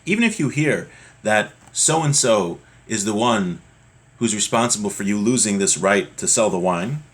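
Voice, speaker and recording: A man's voice: lowish voice, expressive, normal speed, slightly rough. Man teaching a conversational religious text class. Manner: conversational, explains with hypothetical examples and acted-out quotes. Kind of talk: explanatory lesson, reading Hebrew text and translating into English.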